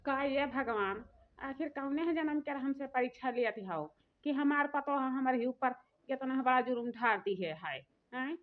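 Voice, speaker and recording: A woman's voice: high voice, measured pace, a little hiss or hum. A woman voicing an aggrieved, vain old village mother-in-law in a comic cartoon, complaining with an Awadhi accent. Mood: lamenting. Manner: complaining, lamenting. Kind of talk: rustic comic cartoon dialogue. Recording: muffled, phone-like narrow sound.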